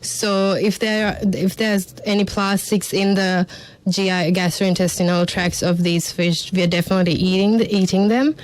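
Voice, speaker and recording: Woman, guest scientist, even monotone delivery, steady and explanatory. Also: mid-range voice, moderate pace, low-quality recording. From Sri Lanka. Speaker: marine biologist guest. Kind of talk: radio interview answers. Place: radio studio.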